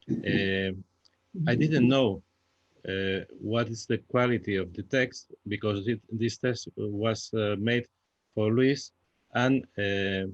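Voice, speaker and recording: Halting, low-voiced Spanish man, slow delivery. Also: dry recording. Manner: halting, searching for words in a second language. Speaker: playwright. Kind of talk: unscripted post-show discussion. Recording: dull, muffled lo-fi audio.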